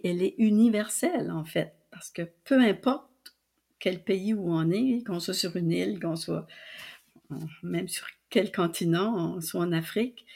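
Older Acadian-region Canadian French woman guest, low-pitched, rambling storyteller. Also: gravelly, slightly rough. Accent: Canadian French (New Brunswick). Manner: warm storyteller with many fillers and restarts. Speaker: guest, publisher and translator. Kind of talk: casual storytelling interview.

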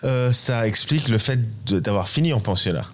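A man's voice: medium pitch, lively intonation, a little fast. A man in his fifties, from Iran, answering interview questions hesitantly, searching for words and repeating them. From Iran, then the US, UK and France. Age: 50s. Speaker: podcast guest, watch auction executive.

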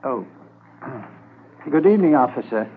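An actor playing a polite, hesitant, uneasy druggist in a 1950s radio drama. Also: male voice, medium pitch, expressive, close to an everyday voice. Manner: hesitant, halting. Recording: muffled, faintly noisy 1950s radio transcription.

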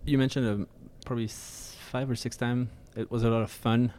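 A man's voice: mid-range voice, flat delivery, a little fast, a little mellow. A man from France with a French accent, hosting a casual interview and asking long, winding questions full of restarts. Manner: friendly, rambling questions with restarts. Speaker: podcast host.

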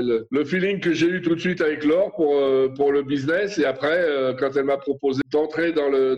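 A man in his fifties from France, a podcast guest telling his story slowly and haltingly, with many fillers and restarts. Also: mid-range voice, darkish tone, muffled recording. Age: 50s. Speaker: guest, association board member. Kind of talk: casual podcast interview.

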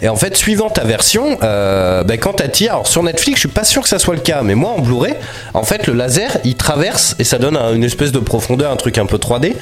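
A man's voice: mid-range voice, a little fast. A man with a cold, chatting casually and rambling about films and cartoons as podcast co-host. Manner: lively, rambling, slangy. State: has a cold.

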